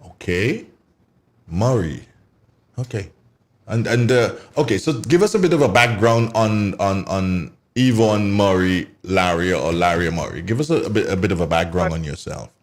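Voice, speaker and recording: A man's voice: low voice, lively intonation, moderate pace. A man hosting a morning radio show, chatty and teasing, often repeating his phrases. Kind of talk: casual radio interview chat.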